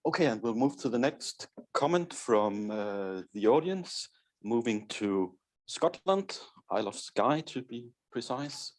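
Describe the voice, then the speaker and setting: A man's voice: medium pitch, lively intonation, a little slow. A man moderating a conference panel, handing over briefly to the next speaker.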